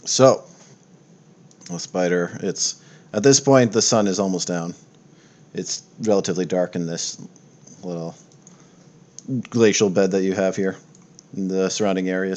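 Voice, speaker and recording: A man's voice: sits low, a little mellow. A man acting as game master, describing scenes and questioning players during a tabletop roleplaying game.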